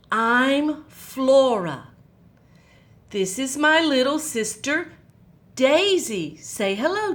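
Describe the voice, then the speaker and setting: A woman hosting a children's story time, reading a Bible story aloud in a gentle, sing-song way. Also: high voice.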